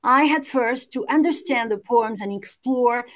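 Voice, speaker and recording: Woman, slightly high voice, careful measured reading, conference talk, video call. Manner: careful, measured reading with occasional hesitant restarts. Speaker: conference presenter. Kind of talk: reading a prepared academic paper. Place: home or office on a video call.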